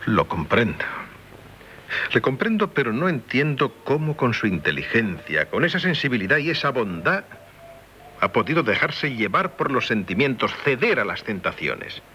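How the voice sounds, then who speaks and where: Radio drama courteous, grave gentleman, forceful tone, animated phrasing, earnest. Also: mid-range voice, moderate pace. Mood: earnest concern. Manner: formal, polite, earnest. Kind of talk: 1970s radio drama acting. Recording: noisy 1970s radio broadcast recording.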